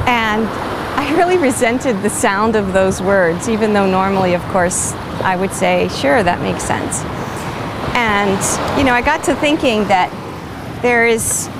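An older woman hosting a vlog, talking in a reflective, chatty way with pauses and fillers as she walks on a beach. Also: medium pitch, background noise. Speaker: vlog host. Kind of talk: casual walking monologue. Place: outdoors on a sandy beach.

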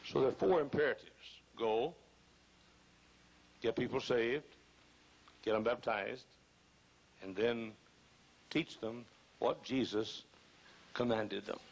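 An older man, hoarse and gravelly, preaching an emphatic sermon at a pulpit microphone in a large church, repeating phrases and rising into loud bursts. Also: medium pitch, slow pace, long pauses, muffled recording. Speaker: pastor. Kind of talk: fiery sermon. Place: large church auditorium, choir behind.

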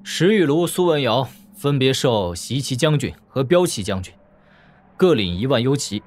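An actor playing a calm, assured young lord who commands troops with casual authority in an audio drama. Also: male voice, mid-range voice, normal speed, lightly acted. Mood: calm, reassuring. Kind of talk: Chinese audio drama acting.

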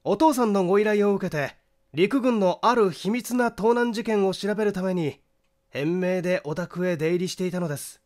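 A man voicing a shrewd, formally polite detective in an audiobook, pressing his accusation in a theatrical, deliberate manner. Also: high-pitched, a little mellow, lightly acted. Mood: coolly triumphant. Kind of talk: dramatic audiobook character acting.

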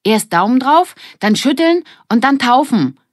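A woman's voice: sits high, very animated, measured pace, no echo, studio quality, voice pushed up, full character voice. A woman voicing a bold, spirited young girl who leads a children's gang and calls out in an audiobook. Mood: excited, calling. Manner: exclaiming, calling out.

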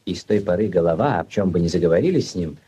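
An actor narrating a literary story for 1940s radio as a wry, measured and expressive storyteller. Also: male voice, sits low. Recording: muffled, noisy 1940s radio recording.

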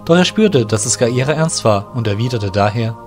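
Casting: male storyteller for fantasy radio play, mid-range voice, soft music underneath. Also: medium pitch, animated, normal speed. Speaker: narrator. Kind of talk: scripted audio drama narration.

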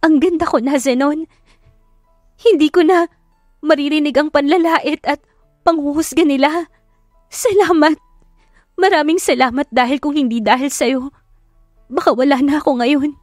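Woman acting a high-voiced, emotional young woman. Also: normal speed, long pauses, darkish tone, no echo, clean studio sound, faint music behind, natural voice. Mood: tearful, grateful. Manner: emotional, effusive. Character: tearful, grateful young woman with a new face.

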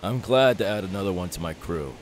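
A man playing a confident, friendly young pirate captain in an ASMR audio roleplay, easygoing, unhurried and reassuring. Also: deep voice. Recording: steady background hiss.

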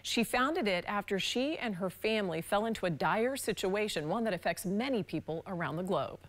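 A woman's voice: mid-range voice, expressive, normal speed. A woman in her thirties or forties with an American accent presenting in a TV news studio. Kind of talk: TV studio presenting and desk chat.

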